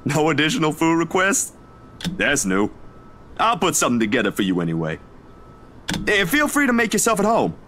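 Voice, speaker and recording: A man playing a friendly young male photographer in a video game, with a casual, chatty, expressive delivery. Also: a little high, normal speed, pauses often, slight background noise, full character voice. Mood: casual, hospitable. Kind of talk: naturalistic video game voice acting.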